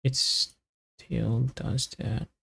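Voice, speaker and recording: A man on a casual coding livestream, thinking aloud in halting, muttered fragments as he works. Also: mid-range voice, little pitch movement, creaky, fairly bright tone, breathy edge. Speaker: developer live-streaming coding.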